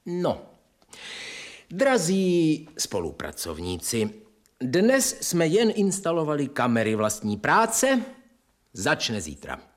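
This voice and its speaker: Pompous, animated Soviet official. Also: male voice, fairly high pitch, pauses often. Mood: speechifying. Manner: pompous, speechifying. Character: self-important, zealous male Soviet farm director. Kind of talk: radio drama acting.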